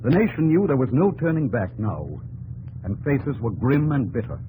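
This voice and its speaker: Actor as earnest young captain, lively radio delivery. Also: male voice, medium pitch, normal speed, a little hiss or hum, close to an everyday voice, low-quality recording. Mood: solemn narration. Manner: solemn, dramatic, clipped and respectful. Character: earnest, loyal young army captain, the president's secretary. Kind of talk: 1950s radio drama narration and acting. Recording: old radio broadcast with music bed.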